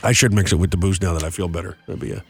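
A man co-hosting a show who is hoarse with laryngitis. He jokes and rambles through casual morning-show banter. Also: deep voice, a little mellow. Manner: joking, quick and rambling. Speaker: radio show co-host.

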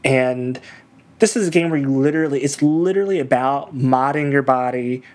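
A man's voice: mid-range voice, moderate pace, a little mellow. A man co-hosting a casual podcast chat about video games, easygoing and a bit hesitant. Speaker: podcast co-host.

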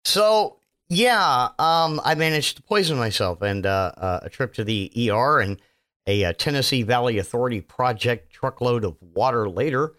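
A man hosting his own podcast, his voice still hoarse from illness, rambling casually with lots of fillers and self-mocking asides. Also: mid-range voice, animated, moderate pace, a bit bright. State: hoarse, recovering voice. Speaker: podcast host. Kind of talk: casual solo podcast monologue.

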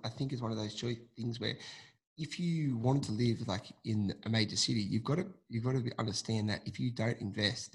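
A man's voice: on the low side, breathy edge. A man hosting a podcast, asking rambling, chatty questions full of restarts. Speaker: podcast host. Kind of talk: casual interview talk.

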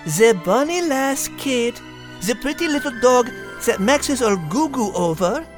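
A woman's voice: a little high, animated, unhurried, over music. A woman playing a polite, teasing French female cat with a French accent in a children's story podcast. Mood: teasing, cheerful.

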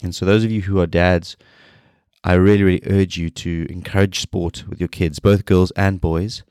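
A man with a very deep voice, stuffed up and nasal from a cold, talking in a flat, low-energy way. He rambles and restarts his sentences as he hosts his own podcast. The recording is dry and close, with no room sound. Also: normal speed, smooth, dry recording. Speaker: podcast host. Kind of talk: solo podcast talk.

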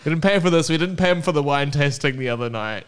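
A man's voice: a little high, animated, quick pace, smooth. A man co-hosting a comedy podcast, asking his guest easygoing questions. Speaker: podcast co-host. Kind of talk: casual podcast chat.

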